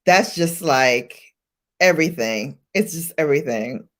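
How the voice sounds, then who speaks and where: Chatty Brooklyn woman, lively pitch. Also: measured pace. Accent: Brooklyn New York. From Brooklyn, New York. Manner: chatty, laughs often, greets viewers by name. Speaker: livestream host. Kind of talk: casual livestream chat with viewers. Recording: faint music behind.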